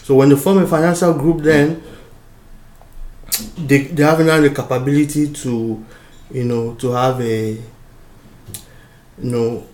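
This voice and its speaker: A man from Cameroon lectures through a diagram in a halting, unscripted way, full of fillers and restarts. His pitch is mid-range for a man. The room gives his voice a noticeable echo. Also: darkish tone. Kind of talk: informal lecture over a diagram.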